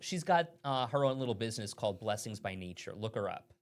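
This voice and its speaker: Man, morning show co-host, lively sing-song pitch, playful, quick reactions, studio lapel mic. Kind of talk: casual chat, reading viewer comments.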